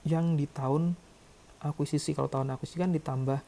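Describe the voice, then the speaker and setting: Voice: medium pitch, fairly even intonation, fairly quick, vocal fry, mellow. An accounting lecturer explaining calculations step by step in a didactic way. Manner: didactic, checks with "ya" and "oke".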